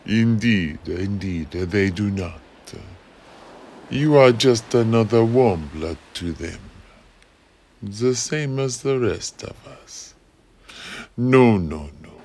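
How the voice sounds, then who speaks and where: A man playing a coolly confident, taunting explorer captain in a fantasy audio play, speaking in measured phrases over faint noise. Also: lowish voice, lively intonation, a little mellow. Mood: smug calm. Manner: measured, taunting, repeats words.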